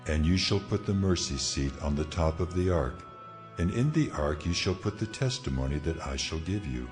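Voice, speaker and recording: Male actor, majestic male God, very deep, deliberate, commanding, long cathedral-like reverb, scripture audio drama. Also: expressive. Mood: solemn, commanding. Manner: solemn, deliberate, authoritative. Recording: long hall reverb, music behind.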